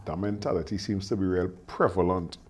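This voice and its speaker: Trini host man, low voice, laid back, talks in dialect. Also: a little fast, crowd noise. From Trinidad and Tobago. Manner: relaxed, local dialect. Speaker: podcast host. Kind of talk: casual interview chat.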